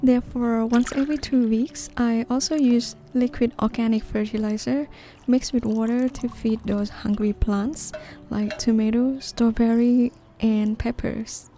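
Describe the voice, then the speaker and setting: A woman from northeastern Thailand, gently narrating a gardening video in a measured, explanatory way. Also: a little high, steady tone, faint music behind. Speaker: gardening vlogger. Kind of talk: calm scripted voice-over narration.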